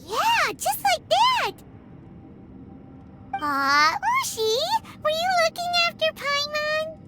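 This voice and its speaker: A woman voicing a tiny, excitable, chatty girl fairy companion in a video game, speaking in exclamations. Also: very high-pitched, takes it slowly, full character voice. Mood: excited. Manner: refers to self in third person, exclamatory. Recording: loud game music behind.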